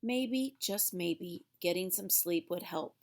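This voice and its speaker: Slow-paced woman reading a children's storybook. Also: lowish voice, darkish tone. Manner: theatrical, with drawn-out emphasized words. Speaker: children's storybook read-aloud reader. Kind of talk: expressive storybook narration for young children.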